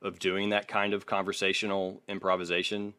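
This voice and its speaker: Male podcast host, lower register, analytical and opinionated, casual swearing, dry sound. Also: flat delivery, fairly quick, bright, forceful tone, clean tone, no room sound. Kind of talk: casual music critique chat.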